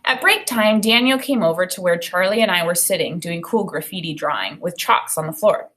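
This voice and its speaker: A woman in her thirties, an elementary teacher, reading a children's novel aloud and explaining it in an expressive way. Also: lowish voice, a little fast. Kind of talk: read-aloud of a children's novel with think-aloud commentary. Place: small indoor room with bookshelf and whiteboard.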